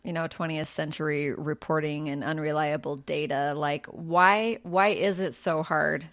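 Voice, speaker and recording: A woman's voice: low voice, fairly even intonation, unhurried, a bit bright. A woman hosting a podcast, upbeat and encouraging as she interviews a guest. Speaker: podcast host.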